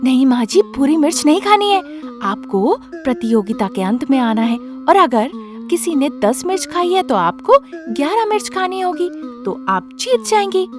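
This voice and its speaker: A woman voicing a fame-hungry young daughter-in-law, excitable and wheedling, in a story. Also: high voice, moderate pace, over music, pitched above the performer's own voice. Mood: pleading. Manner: whiny, exclaiming, wheedling.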